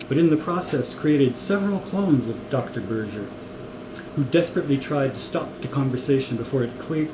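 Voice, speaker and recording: A man reading a comic story aloud in an amused way. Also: on the high side. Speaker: reader of a comic novel. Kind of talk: story reading with acted dialogue. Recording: echoey room with clear noise.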